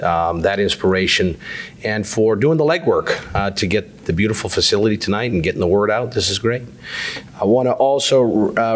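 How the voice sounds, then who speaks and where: A man with a cold giving affable, self-deprecating town hall remarks with frequent "uh" fillers. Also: on the low side. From New Hampshire. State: has a cold. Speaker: state insurance commissioner.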